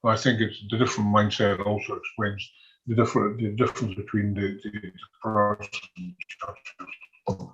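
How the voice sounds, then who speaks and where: An older man with a Scottish accent, speaking in a halting, conversational way as a military historian giving an informal talk. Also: lowish voice, little pitch movement, fairly bright tone, faint music behind. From Scotland. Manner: halting, conversational, chuckles often. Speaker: military historian and former soldier. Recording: dull, lo-fi audio.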